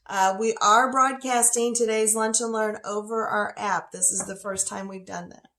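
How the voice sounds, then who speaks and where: A woman's voice: mid-range voice, fairly even intonation, normal speed, a bit bright. A woman with a scratchy voice and a slight cough, teaching a casual quilting lesson from her home sewing studio in a chatty, folksy way. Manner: chatty, folksy, talks to her dog between steps. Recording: echoey room.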